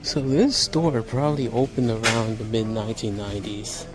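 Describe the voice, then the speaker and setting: A man's voice: medium pitch, fairly even intonation, moderate pace, low-quality recording. A man with a mid-range voice talks casually as he films a walk-through tour. He makes unscripted, hobbyist observations as he goes, and music plays faintly somewhere behind him. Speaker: vlogger giving a store tour. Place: inside retail stores, later outside. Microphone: handheld camera. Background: faint store music.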